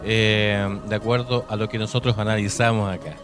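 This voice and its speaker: A man's voice: fairly low pitch, level tone, normal speed. A man with a Chilean accent hosting a conversational radio interview. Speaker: radio host. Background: music.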